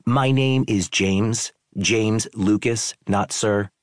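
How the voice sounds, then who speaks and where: A man's voice: lowish voice, takes it slowly, dry recording, clean studio sound, voice pushed up. A man doing a blustering angry tourist. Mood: demanding. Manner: blustering, indignant. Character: red-faced angry male tourist, demanding and bullying.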